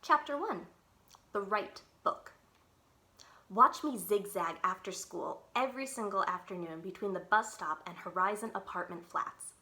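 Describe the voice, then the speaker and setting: Bright-voiced woman reading to children. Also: medium pitch, measured pace. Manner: animated, clearly enunciated storytelling. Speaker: librarian reading aloud to children. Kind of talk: expressive children's read-aloud.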